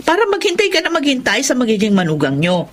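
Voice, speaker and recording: An actress playing a resentful, sarcastic unmarried daughter in a radio drama. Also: female voice, high voice, wildly swooping pitch, quick pace, a little hiss or hum. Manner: sarcastic, sharp. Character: sharp-tongued unmarried grown daughter, resentful.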